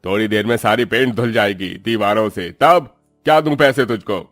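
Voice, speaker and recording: A man's voice: on the low side, moderate pace, a bit bright, soft background music, close to an everyday voice. A man voicing an arrogant, hot-tempered rich employer in a cartoon story, scolding with contempt. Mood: irritated, dismissive.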